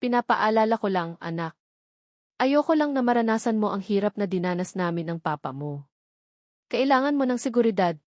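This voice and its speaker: A woman's voice: mid-range voice, animated, brisk, dry recording, studio quality, close to an everyday voice. A woman voicing a worried, admonishing older mother in a story. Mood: earnest, pleading. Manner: fretful, admonishing. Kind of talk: storybook character dialogue.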